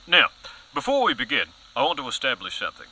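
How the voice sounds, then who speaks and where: A pompous news anchor in a comedy audio short. His voice is mid-pitched, piercingly bright and highly animated. He leans on dramatic pauses like an overzealous crusader chasing a conspiracy. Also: heavily acted, poor audio. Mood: grave, self-important. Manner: pompous, melodramatic, emphatic pauses. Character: overzealous, self-important male news anchor spiralling into conspiracy. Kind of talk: mock current-affairs broadcast, scripted audio comedy.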